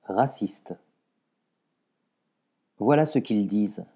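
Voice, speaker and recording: A man reciting poetry in a measured way, pausing between short phrases. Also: mid-range voice, dark tone. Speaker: poetry reader.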